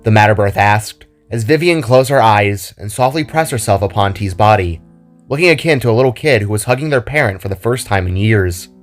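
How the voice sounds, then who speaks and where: A man's voice: lowish voice, animated, a little fast. Man narrating a dramatic story over music.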